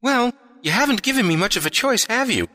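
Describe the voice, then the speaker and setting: A man's voice: extremely high pitch, expressive, fairly quick, bright, forceful tone, a little hiss or hum, heavily acted. A man playing a loyal Federation spy wounded in love, with an emotional delivery, in an audio drama. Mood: bitter resolve. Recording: long reverberant hall sound.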